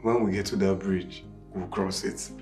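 A man's voice: low-pitched, fairly even intonation, moderate pace, music in the background, close to an everyday voice. A man playing an easygoing, laughing man who reassures. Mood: reassuring.

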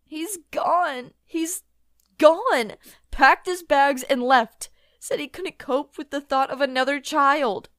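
A woman's voice: high-pitched, takes it slowly, a bit bright, no echo, clean studio sound. A woman voicing a pregnant young woman abandoned by her husband, earnest and pleading, in a comic dub. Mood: distraught.

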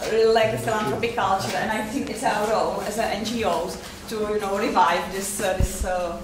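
A woman in her thirties with a Czech accent gives a fundraising presentation, halting with many fillers, recorded from afar in a seminar room. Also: mid-range voice, steady tone, distant mic, noisy background. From Czech Republic. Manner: hesitant, many fillers, halting non-native English. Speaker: fundraiser giving a conference talk. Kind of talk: presentation talk. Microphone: far camera mic. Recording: echoey room, picked up from afar.

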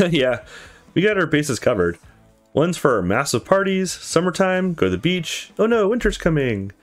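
A man's voice: on the high side, sing-song, moderate pace, faint music behind, pitched above the performer's own voice. A man voicing a friendly, easygoing young woman in a visual novel, chatty and teasing. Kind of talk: visual novel dialogue read aloud.

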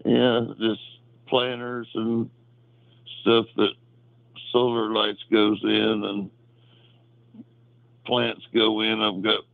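A man talks over a narrow, muffled phone line in a gravelly, lowish voice. He sounds drowsy and answers slowly, in short, plain phrases. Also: monotone, dry recording. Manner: plain, unhurried, terse. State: drowsy, trying to sleep. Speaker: prank call recipient who makes wood crafts. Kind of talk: casual phone conversation. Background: fan blowing.

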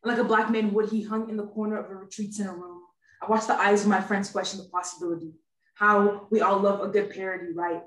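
A woman's voice: mid-range voice, fairly even intonation, fast talker, roomy sound, rough recording. A nineteen-year-old woman from Texas performing spoken word poetry, standing back from a webcam in a living room. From Houston, Texas, US. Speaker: spoken word poet, university student. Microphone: webcam a few steps away.

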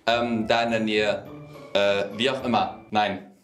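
A man's voice: lowish voice, level tone, a little slow. A man in his twenties telling a casual story, rambling and correcting himself as he goes. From rural Germany. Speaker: storyteller in a lie-detection game show. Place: indoor room in front of a screen. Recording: roomy echo, music underneath. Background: music.

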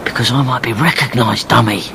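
A man's voice: medium pitch, fairly even intonation, brisk, creaky, noisy background, lightly acted. A man playing a domineering, calculating schemer in a radio drama, with a controlling, impatient manner. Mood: commanding, impatient. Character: scheming, domineering male conspirator, coolly calculating.

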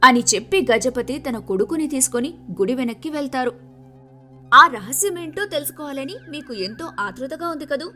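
A woman narrating a scripted moral folk tale in an expressive storytelling manner. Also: high voice, moderate pace, soft background music.

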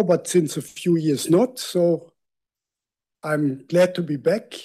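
A man's voice: fairly high pitch, a little mellow. Older German-accented man lecturing in a hall. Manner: conversational, self-deprecating asides. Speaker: guest lecturer. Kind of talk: public lecture. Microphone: lectern microphone.

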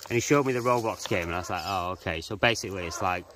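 A man's voice: low-pitched, racing, a bit bright, slight background noise. A middle-aged man, chatting outdoors and telling stories, calling his friend mate. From England. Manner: chatty storyteller, calls people mate. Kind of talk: casual chat. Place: outdoors by rocks.

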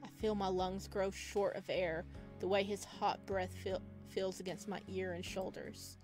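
Female game streamer, middle pitch, playful and expressive read-aloud with casual reactions, music underneath. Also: unhurried. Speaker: streamer playing a visual novel. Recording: music playing behind the voice.

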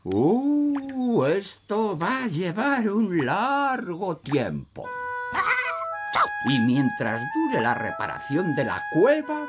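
A man voicing a smug, wise old elf in a children's cartoon, pompous and deliberate. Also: sits high, huge pitch swings, music in the background, heavily acted. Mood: know-all. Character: smug, wise old male elf, know-all builder. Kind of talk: animated children's cartoon dub.

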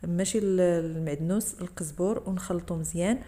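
A woman's voice: fairly low pitch, a little fast, warm tone. A woman with a Moroccan Arabic accent, narrating a cooking tutorial over her video and explaining each step to the viewers. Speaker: cooking channel host.

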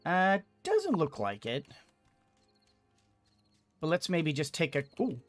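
Slow-paced man musing through a video game. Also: fairly high pitch, huge pitch swings, no room sound. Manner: hesitant, musing to himself as he plays. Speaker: gaming video host. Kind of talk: casual let's play commentary. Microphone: microphone on boom arm, headset. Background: game music.

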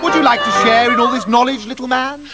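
Man putting on a pompous, high-pitched strict schoolteacher. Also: fairly even intonation, fast, loud music behind the voice. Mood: sly, boastful. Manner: pompous, exclamatory, sing-song relish. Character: cane-happy male schoolteacher, pompous, gleefully strict.

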